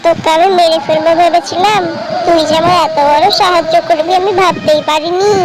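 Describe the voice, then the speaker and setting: A woman voicing a vain, excitable young female ghost in a cartoon, speaking in a dramatic, exclamatory way. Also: very high voice, brisk, very noisy. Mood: grateful, excited. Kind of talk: cartoon voice acting. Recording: loud music over the voice.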